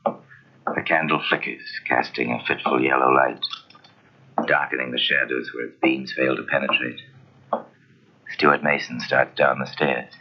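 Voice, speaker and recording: An actor playing a sly, knowing narrator of an old radio murder mystery, theatrical and insinuating. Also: male voice, extremely low pitch, steady tone, a little slow, long pauses, highs cut off, heavily acted. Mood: ominous. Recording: old radio recording, music behind.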